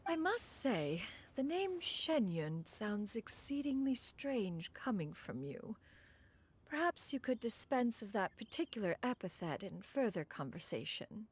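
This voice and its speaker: A woman's voice: fairly high pitch, sing-song, measured pace, husky, full character voice. A woman playing a proud, refined, ancient immortal in a video game, with haughty, formal and archaic speech. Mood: reluctant, dignified. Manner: haughty, archaic, formal, calls herself "one". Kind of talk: video game character dialogue.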